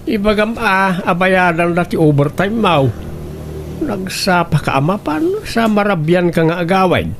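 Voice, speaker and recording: A man's voice: high-pitched, expressive, a little slow, fairly bright tone, a little hiss or hum, heavily acted. A man playing a protective, indignant father in a radio drama, exclaiming reproachfully in an Ilocano accent. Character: protective, indignant father fretting over his daughter. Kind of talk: Filipino radio drama acting.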